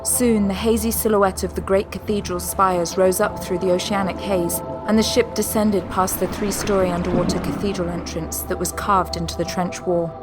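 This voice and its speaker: A woman narrating a scripted audio drama in a measured storytelling manner. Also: mid-range voice, flat delivery, music in the background.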